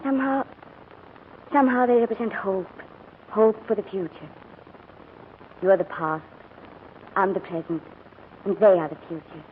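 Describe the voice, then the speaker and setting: A woman's voice: mid-range voice, steady tone, moderate pace, pauses often, close to an everyday voice. Actress as an earnest young woman, tinny old radio. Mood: earnest, tender. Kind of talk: 1940s radio drama acting. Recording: thin, noisy old radio recording.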